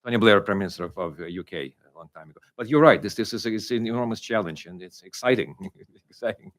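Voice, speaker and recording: A man's voice: fairly low pitch, quick pace. A male professor on a conference panel, telling an anecdote animatedly and acting out the dialogue. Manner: animated storytelling with quoted dialogue.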